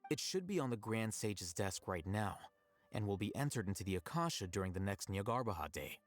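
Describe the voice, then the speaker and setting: Low-voiced, composed scholar-strategist. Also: male voice, very animated, faint music behind. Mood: cool, confident. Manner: measured, coolly explanatory. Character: composed, calculating young male scholar and strategist. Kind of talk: video game voice acting.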